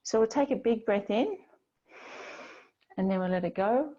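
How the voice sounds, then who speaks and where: A woman teaching a yoga class, giving gentle, unhurried guidance with pauses between phrases. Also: a little high, expressive. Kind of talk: guided yoga class instruction. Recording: slightly dull, lo-fi sound.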